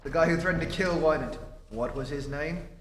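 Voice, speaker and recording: A man acts out a brisk police inspector in a vintage radio play. His voice sits slightly high and he talks in a clipped, plain way. The stage recording is reverberant, with faint noise. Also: a bit bright, lightly acted. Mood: businesslike. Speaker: amateur stage actor. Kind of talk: old-time radio drama stage re-enactment.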